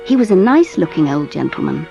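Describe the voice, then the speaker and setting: A woman with a British accent narrating a storybook in scripted, measured storytelling fashion. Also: low voice, very animated, gravelly, warm tone. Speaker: audiobook narrator, speaking as the mother. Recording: slightly dull audio under music. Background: music.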